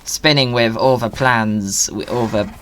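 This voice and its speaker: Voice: mid-range voice, unhurried, a little hiss or hum. A playful, whimsical gamer chatting casually while hosting a video game episode. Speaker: video game streamer and host. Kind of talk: casual gaming chat.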